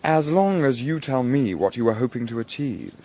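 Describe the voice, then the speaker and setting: A man's voice: medium pitch, lively intonation, warm tone, lightly acted. Male actor for young Victorian Englishman, period audio drama. Shrewd, discreet, formal and drily ironic. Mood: dry, assured. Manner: measured, formal, dryly ironic.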